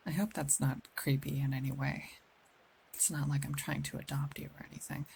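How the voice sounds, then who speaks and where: Woman voicing a gentle, gravelly werewolf, faint hiss behind. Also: extremely low pitch, flat delivery, full character voice, poor audio. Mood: tender, reassuring. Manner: gentle, soothing, confiding, with dramatic pauses. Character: caring, protective female werewolf, older than she looks, wistful. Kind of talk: ASMR roleplay, intimate scripted acting addressed to one listener.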